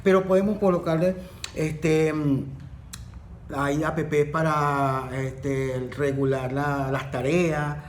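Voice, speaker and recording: A man with a fairly high voice speaks as a guest. He hesitates and fills gaps with "este", and his pace shifts. The room adds an echo. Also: level tone, takes it slowly, slight background noise. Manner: hesitant, full of "este" fillers, uneven pace. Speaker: course facilitator. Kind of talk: podcast interview. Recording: roomy echo.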